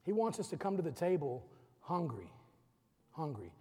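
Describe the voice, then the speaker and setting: A man's voice: fairly high pitch, sing-song, normal speed, warm tone, breathy edge, long reverb tail. A middle-aged man preaching a sermon, urging his congregation with rising emphasis and calling the music team up. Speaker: pastor. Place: church sanctuary.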